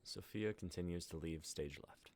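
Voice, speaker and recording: A man's voice: sits low, moderate pace, darkish tone, no room sound. A young man reading stage directions as scripted narration. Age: young adult.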